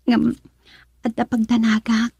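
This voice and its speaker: A young, emotional daughter in love in an Ilocano radio drama. Her voice is high and plaintive, always pleading. It is recorded close and dry. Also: monotone, a little slow, gravelly, mellow, slightly rough, no room sound, heavily acted. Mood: worried. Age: young adult.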